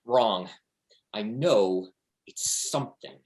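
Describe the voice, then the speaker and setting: An actor playing a brash, excitable, scheming slacker man in a foul-mouthed, cajoling comedic table read over a video call. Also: mid-range voice, takes it slowly, close to an everyday voice. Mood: fired up. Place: remote video call reading.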